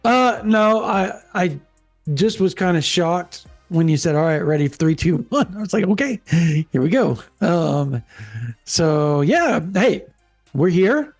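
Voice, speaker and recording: A man co-hosting a casual sports podcast, sounding nasal and stuffed up from allergies, with an animated, drawling way of talking. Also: high voice, slow, no echo, faint music behind. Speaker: podcast co-host.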